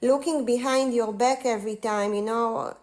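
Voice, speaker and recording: A woman in her forties with an Israeli accent, a guest speaking over a live call, earnest and halting, with many fillers. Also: on the high side, steady tone, a little mellow, slight background noise. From northern Israel. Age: 40s. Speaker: guest on a livestream. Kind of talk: casual conversation.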